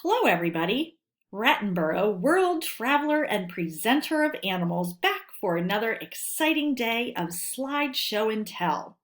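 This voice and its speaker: A woman teacher reading a lesson aloud for children in a deliberate, instructional way, posing questions and answering them. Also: a little high, sing-song.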